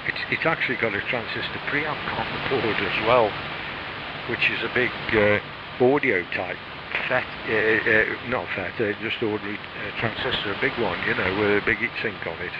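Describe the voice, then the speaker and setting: British male ham operator, low voice with a throat frog, rambling casual tech chat, buried in loud radio noise. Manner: hesitant, rambling, frequent pauses. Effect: shortwave radio transmission. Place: home radio shack. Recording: muffled shortwave radio, loud static noise.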